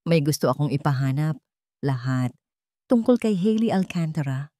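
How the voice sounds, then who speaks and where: A woman voicing a wealthy, calculating businesswoman in an audio drama, sharp, imperious and emotionless, with a Filipino accent. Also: low voice, lively intonation, moderate pace, no echo, studio quality. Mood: cold, commanding.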